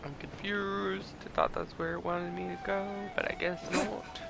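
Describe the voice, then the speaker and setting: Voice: medium pitch, level tone, normal speed, vocal fry. A streamer with an androgynous voice chatting casually over live gameplay, rambling and easily amused. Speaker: game streamer. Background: game music.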